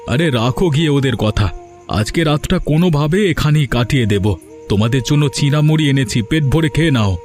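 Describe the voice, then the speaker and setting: A man's voice: mid-range voice, expressive, a little fast, darkish tone, soft background music, close to an everyday voice. A man voicing a humble, hopeful poor village father in a story cartoon, earnest and deferential. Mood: reassuring. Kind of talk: Bengali moral story cartoon dubbing.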